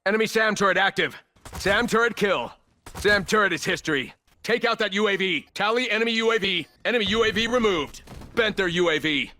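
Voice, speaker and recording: An actor playing a terse, cold special forces operator in a military shooter game, clipped and businesslike. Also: male voice, high-pitched, fairly quick, sharp tone. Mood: urgent, focused. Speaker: video game operator character.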